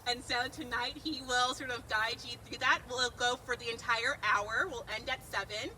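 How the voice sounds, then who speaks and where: A woman's voice: a little high, steady tone, normal speed, sharp tone. Upbeat female host, casual welcome speech, outdoor garden with light background noise, distant mic. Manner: upbeat, informal, a few ums and restarts. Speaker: event host from a community garden group.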